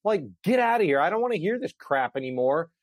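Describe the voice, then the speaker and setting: A man's voice: high-pitched, big pitch swings, fast talker, dry recording, clean studio sound. A man in his forties co-hosting a sports show, chatting in an emphatic, colloquial, ranting way. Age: 40s. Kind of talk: excited sports talk chat.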